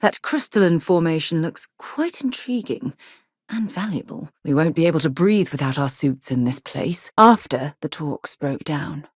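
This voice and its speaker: A woman playing an earnest, inquisitive, principled space explorer in a video game, with a husky voice and articulate, formal phrasing. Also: sits low, lively intonation, moderate pace, no echo, clean studio sound. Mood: thoughtful, composed. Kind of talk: scripted video game dialogue.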